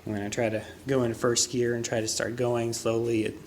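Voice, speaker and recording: A man narrates his own repair vlog in a casual, monotone voice. He speaks at a middling pitch and feels his way hesitantly through sentences. Some noise sits behind his words. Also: moderate pace. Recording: noticeable background noise.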